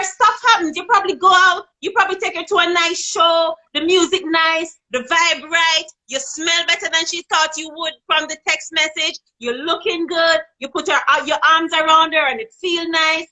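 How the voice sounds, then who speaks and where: A woman's voice: normal speed, hardly any pauses, sharp tone, poor audio. A woman with a Jamaican accent on a phone video call, talking frankly about dating and sex in casual chat. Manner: frank and outspoken, rising in pitch when making a point. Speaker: guest on a live video chat. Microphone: phone held in hand.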